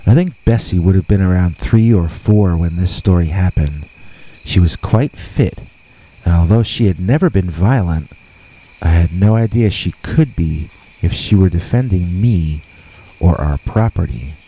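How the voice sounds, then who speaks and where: A man narrating a scripted scary story deliberately, with dramatic pauses. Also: sits low, animated, mellow, husky, low-quality recording. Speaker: host and narrator of scary stories.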